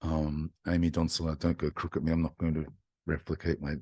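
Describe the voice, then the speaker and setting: A man, a singer-songwriter, telling a story in a chatty, animated way to an online audience over a video call. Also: rumbling low, a little fast. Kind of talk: casual talk to an online audience.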